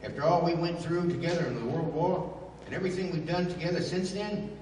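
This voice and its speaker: A rough, loyal wisecracker from an old radio adventure, acted by a man, with blunt, slangy talk. The voice is a bit distant in a big echoing hall, over faint noise. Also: fairly high pitch, brisk, darkish tone, natural voice. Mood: loyal, emphatic. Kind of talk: 1930s radio drama acting.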